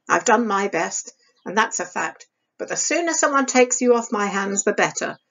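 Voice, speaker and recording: A woman's voice: medium pitch, highs cut off. A woman voicing a stern, bitter stepmother in a storybook reading, harsh, reproachful and emphatic.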